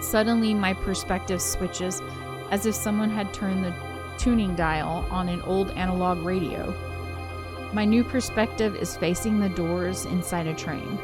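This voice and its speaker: A woman reading a visual novel aloud as a game co-host, expressive in the story and giggly in her casual asides. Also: medium pitch, measured pace. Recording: music playing behind the voice. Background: game soundtrack music.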